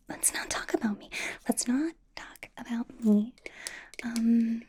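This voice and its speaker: A woman playing a bubbly, anxious, caring young K-pop idol in an ASMR roleplay, with an American accent, a soft breathy whisper and a chatty, rambling, reassuring way of talking. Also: a little high. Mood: rambling, sheepish, then eagerly supportive. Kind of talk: ASMR personal attention roleplay.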